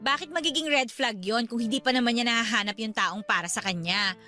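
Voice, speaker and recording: A woman playing a bubbly, persuasive young matchmaker friend in a Tagalog radio drama, animated and coaxing. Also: on the high side, fairly quick, faint music behind. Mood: persuasive, boastful.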